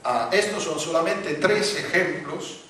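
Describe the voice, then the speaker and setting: A man from Italy with a light Italian accent, giving a public lecture with slides, talking haltingly with many fillers and restarts. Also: a little high, noisy background. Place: large conference hall. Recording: very echoey hall, voice from far off.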